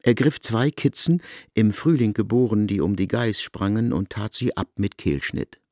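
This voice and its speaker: A man narrating a literary story from a script, in a measured, deliberate storytelling manner. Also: lowish voice, darkish tone, no echo, studio quality.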